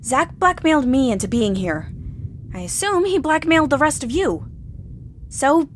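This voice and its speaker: A woman's voice: high voice, lively intonation, normal speed, a little hiss or hum. An actress playing a level-headed, plucky woman who rallies her panicking friends in a comedic audio drama. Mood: rallying.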